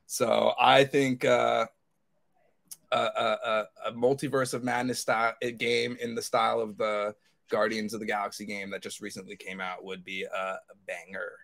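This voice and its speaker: Gravelly man chatting casually. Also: lowish voice, flat delivery, normal speed, a bit bright. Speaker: podcast panelist. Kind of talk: casual pop-culture chat.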